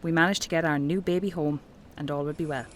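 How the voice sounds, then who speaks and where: A woman playing a dedicated archeology professor in an audio horror drama, speaking in an articulate, measured, scholarly manner. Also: deep voice, steady tone, a little hiss or hum, close to an everyday voice. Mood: reassuring, brisk. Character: dedicated female archeology professor, affectionately commanding, increasingly entranced. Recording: music bed behind the voice.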